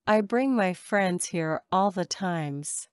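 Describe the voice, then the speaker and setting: A woman reading short English phrases for a language lesson, saying each one slowly and carefully twice. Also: on the low side, lively intonation, smooth, dry recording, studio quality. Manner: measured, careful enunciation. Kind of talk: slow repeated drill phrases.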